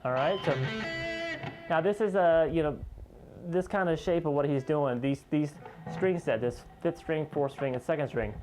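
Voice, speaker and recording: A man teaching guitar in an informal, conversational way, explaining step by step and pausing as he points out each note. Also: a little high, rough recording. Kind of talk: informal instructional lesson, demonstrating step by step.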